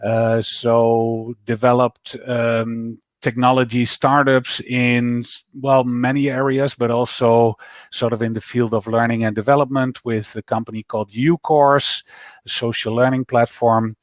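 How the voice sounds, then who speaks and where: A middle-aged man with a Dutch accent, speaking as an interview guest over a video call, measured with frequent pauses. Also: medium pitch, little pitch movement, no echo. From Netherlands. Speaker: guest, startup founder. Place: office.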